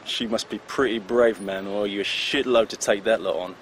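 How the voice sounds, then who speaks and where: An actor playing a gangster's loyal, wary friend in a video game, cautious and matter-of-fact. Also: male voice, on the low side, steady tone, normal speed, fairly bright tone, a little hiss or hum, lightly acted. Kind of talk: video game cutscene acting.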